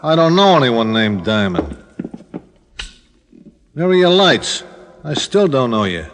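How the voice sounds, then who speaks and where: A man's voice: mid-range voice, very animated, measured pace, fairly bright tone. An actor playing a tough, wary male gangster in a 1940s radio crime drama, speaking in blunt, clipped phrases. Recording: old radio recording, reverberant, faint noise, clicks.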